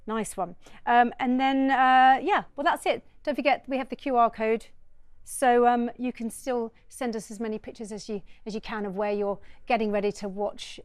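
A woman's voice: on the high side, fairly even intonation, normal speed, smooth, no room sound, clean studio sound. A woman presenting a live football show in an upbeat, chatty way. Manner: chatty, upbeat, laughs easily.

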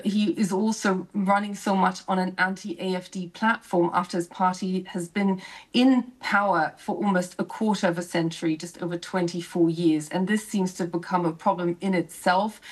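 A woman correspondent giving a live news report, explaining in a measured way with frequent fillers. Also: on the low side, rough recording.

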